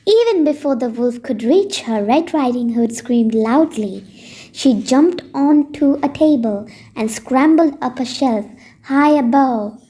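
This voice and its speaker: Slow, husky woman storyteller. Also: high voice, warm tone. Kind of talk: scripted bedtime story narration for children.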